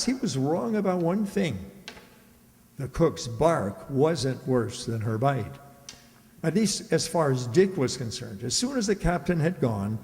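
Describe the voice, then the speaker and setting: A man playing the narrator of a children's radio play, telling the tale in a theatrical, deliberate way. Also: a little high, creaky, a little mellow, heavily acted. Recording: hall-like reverberant stage sound.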